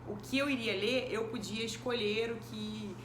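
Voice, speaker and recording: A woman's voice: on the high side, steady tone, moderate pace. A woman, answering interview questions thoughtfully, with many hesitant fillers. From Brazil. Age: 20s. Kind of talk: casual recorded interview. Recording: echoey room with background noise.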